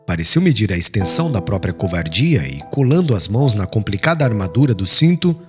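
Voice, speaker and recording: A man reading an audiobook as dramatic literary narration, in a solemn, formal and expressive manner. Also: fairly low pitch, fairly quick. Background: background music.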